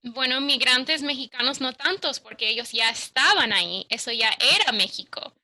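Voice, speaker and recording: A woman's voice: high voice, normal speed, sharp tone. A woman interviewing a guest, asking curious questions and offering short replies. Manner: curious, brief backchannel replies.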